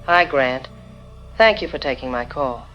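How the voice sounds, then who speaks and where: A man's voice: mid-range voice, lively intonation, measured pace, lo-fi sound, faint music behind, lightly acted. A man playing a worried, polite caller to a radio phone-in. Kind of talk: radio drama phone-in acting.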